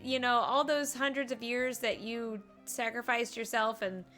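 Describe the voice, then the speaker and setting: A woman game streamer giving casual live reactions, playful and expressive, talking back to the characters. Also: on the high side, measured pace. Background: video game music.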